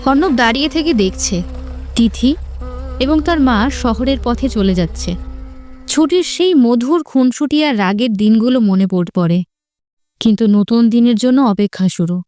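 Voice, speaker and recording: A woman's voice: fairly high pitch, animated, moderate pace, darkish tone, soft background music. A woman narrator reading a scripted romantic story.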